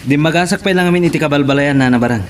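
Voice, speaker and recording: A man acts out a brutal henchman in an Ilocano-accented radio drama. The voice sits somewhat high for a man and carries a mocking menace, over faint background noise. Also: very fast, close to an everyday voice. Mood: boastful, harsh.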